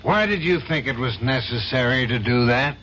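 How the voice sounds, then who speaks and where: An actor in a 1970s radio drama playing an indignant law firm boss who blusters and scolds. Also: male voice, mid-range voice, expressive, moderate pace, a bit bright, lightly acted. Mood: stern, incredulous. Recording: dull, noisy 1970s radio broadcast.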